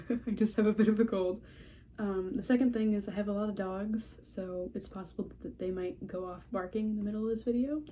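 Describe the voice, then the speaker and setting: A woman's voice: mid-range voice, fairly even intonation, brisk, warm tone. A young woman with a cold, sounding stuffy and a little scratchy, chatting casually and rambling from her home in a vlog update. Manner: rambling, chatty, self-deprecating, laughs often. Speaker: horse breeder vlogger. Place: at home among houseplants.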